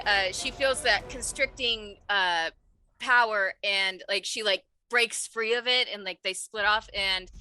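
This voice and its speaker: A woman's voice: medium pitch, bright, forceful tone, poor audio. A woman playing a tabletop game, chatting informally and often interrupting herself. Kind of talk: casual, animated game chat.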